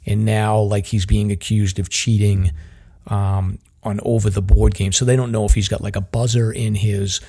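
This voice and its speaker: A man's voice: lowish voice, level tone, moderate pace, warm tone, husky. A man with a Boston accent hosting a podcast in casual chat, rambling and using lots of fillers like "like" and "um". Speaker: podcast host.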